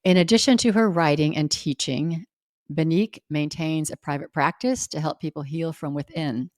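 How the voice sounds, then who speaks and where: Low-pitched woman hosting a podcast. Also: moderate pace, a little mellow, no room sound. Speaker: podcast host. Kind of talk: podcast introduction and interview questions.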